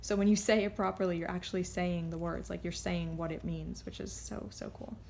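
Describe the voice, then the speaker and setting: A woman giving a casual, unscripted card reading, rambling and halting as she drifts off on tangents. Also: lowish voice, steady tone, vocal fry, darkish tone, slight background noise. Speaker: tarot card reader.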